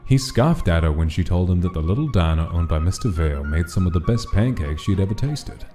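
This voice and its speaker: A man's voice: low voice, lively intonation, fairly quick. A man reading a scripted, dramatic story as its narrator. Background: background music.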